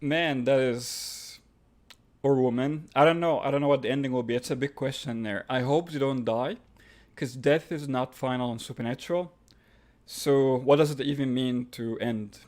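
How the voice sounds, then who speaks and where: A man's voice: mid-range voice, moderate pace, a little mellow. A man from Egypt with an Egyptian accent, hosting a live stream and reading out viewer questions, then answering them offhand. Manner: reads questions aloud then answers offhand, with fillers and restarts.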